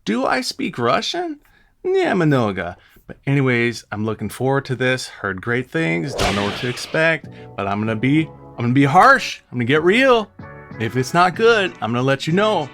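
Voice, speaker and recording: American guy doing a reaction video, rambly casual commentary, animated and exclaims a lot. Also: mid-range voice, moderate pace, vocal fry, faint music behind. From United States. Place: studio.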